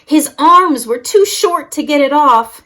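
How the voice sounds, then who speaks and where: A woman's voice: high voice, lively intonation, unhurried, fairly bright tone. A woman reading a picture book aloud expressively for young children, as a story-time reader.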